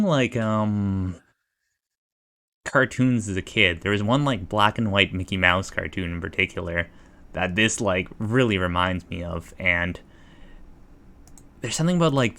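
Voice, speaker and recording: A man's voice: low voice, a bit bright. A man giving casual reaction commentary as a livestreamer, hesitant and rambling as he thinks aloud.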